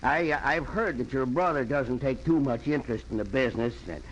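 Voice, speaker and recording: A man's voice: medium pitch, fairly quick, close to an everyday voice. A man playing a folksy, blustering old rancher uncle with a rustic drawl and a rambling, eager manner, in 1940s radio drama style. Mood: brisk, rambling. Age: older. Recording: noisy 1940s radio recording.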